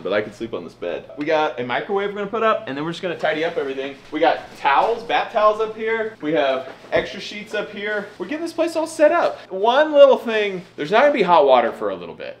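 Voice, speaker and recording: A man's voice: on the high side, sing-song, fast, few pauses, echoey room, a little hiss or hum. A man in his thirties giving a casual, joking walkthrough vlog of an old stone house, recorded on a camera mic. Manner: upbeat, joking, wry. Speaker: vlogger.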